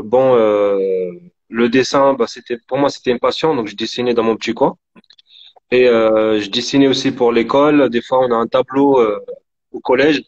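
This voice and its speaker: A man's voice: mid-range voice, a little mellow. Hesitant man on a dull lo-fi call. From Morocco. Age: 30s. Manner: hesitant, many fillers and restarts. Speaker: guest painter. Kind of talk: casual interview answers. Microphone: remote call on live stream. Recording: dull lo-fi stream audio.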